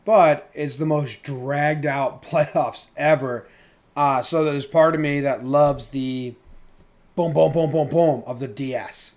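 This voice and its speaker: A man with a slightly high voice talks sports as a podcast co-host. His delivery is casual and colloquial, with loose swearing thrown in, like chatting with friends. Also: fairly even intonation, slow pace, darkish tone.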